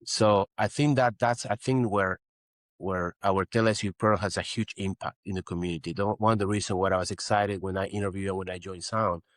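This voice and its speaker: Low-voiced man from Peru, earnest. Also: fairly even intonation, brisk, dry recording. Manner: earnest, explanatory, frequent "you know". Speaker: guest physician. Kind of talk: interview conversation.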